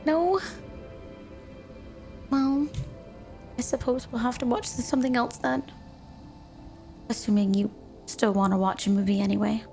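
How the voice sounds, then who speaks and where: Woman acting a high-voiced, clingy snow-woman girlfriend. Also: fairly even intonation, creaky, mellow, soft background music. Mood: sweet, doting. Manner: sugary, coy, affectionate, unhurried. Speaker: ASMR roleplay performer. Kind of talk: ASMR romantic roleplay, addressed to the listener.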